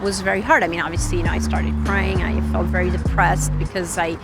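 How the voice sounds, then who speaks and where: Woman over soft music. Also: on the low side, little pitch movement, fairly quick, creaky. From Switzerland. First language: French. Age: 30s. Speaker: interviewee, tech reporter. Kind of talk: reflective documentary interview. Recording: music playing underneath.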